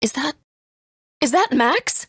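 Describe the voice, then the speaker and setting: A woman's voice: high-pitched, sing-song, slow, no echo, studio quality, heavily acted. A woman playing a grave, tender mother and rebel fighter in a video game, serious and dramatic. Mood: tender, regretful. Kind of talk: English video game voice acting.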